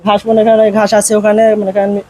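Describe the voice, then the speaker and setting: A man's voice: high-pitched, monotone, brisk, dark tone, dull audio. A man with a Bangladeshi accent from the Jessore region, a farm caretaker giving short, plain interview answers. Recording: noisy on-location farm recording.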